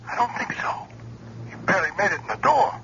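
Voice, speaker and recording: An actor playing a nervous henchman speaking in hushed, anxious tones in an old-time radio drama. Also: high-pitched, animated, fast, gravelly, whispered, exaggerated voice. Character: nervous male henchman on the phone. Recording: hissy, muffled old radio recording.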